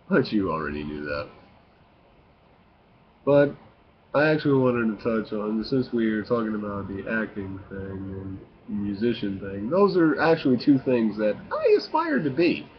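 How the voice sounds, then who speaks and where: A man in his late twenties giving a casual, confessional vlog monologue, with halting, stop-and-start phrasing. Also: fairly low pitch. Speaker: video blogger. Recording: muffled lo-fi with faint hiss.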